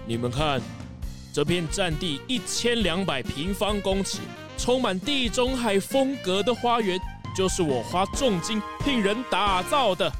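A man's voice: sits high, animated, a little slow, music in the background. A man playing a boastful, jovial, pompous tycoon in a children's audio drama. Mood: bragging. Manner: pompous, showy, chuckling. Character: boastful, jovial rich tycoon who owns a castle.

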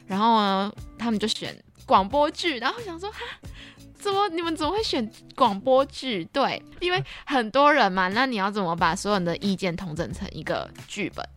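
A woman's voice: medium pitch, moderate pace, faint music behind. A young woman with a Taiwanese Mandarin accent co-hosts a casual podcast chat, telling stories and acting out what others said. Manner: animated, acts out children's remarks. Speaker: podcast co-host and camp counselor.

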